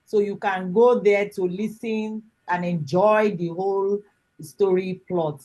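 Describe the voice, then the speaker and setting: Slow-spoken woman hosting online. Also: medium pitch, fairly even intonation, warm tone, low-quality recording. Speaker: host, course lead introducing student work. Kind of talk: informal online event hosting. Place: online call.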